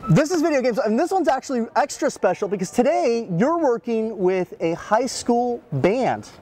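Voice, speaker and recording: A man's voice: very high-pitched, very animated, moderate pace, a little mellow. A man interviewing guests in an enthusiastic, casual way, often saying wow. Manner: enthusiastic, lots of wows.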